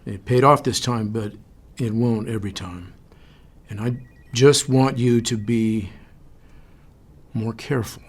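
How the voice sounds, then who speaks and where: Husky, measured agency director. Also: male voice, medium pitch. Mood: stern, concerned. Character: measured male agency director, firm but supportive boss. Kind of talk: audio fiction drama acting.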